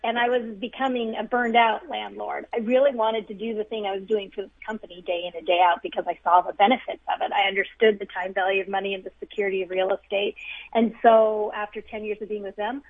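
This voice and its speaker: A woman's voice: medium pitch, fairly even intonation, fast talker, no room sound. A woman in her fifties from the United States, a guest on an interview who tells her story in a friendly, self-deprecating way. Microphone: phone. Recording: tinny phone line.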